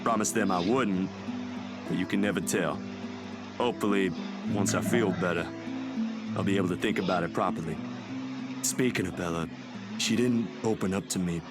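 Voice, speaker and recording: An actor playing a weary, troubled young man in a visual novel, low-key and resigned. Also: moderate pace, long pauses, natural voice. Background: music over game dialogue.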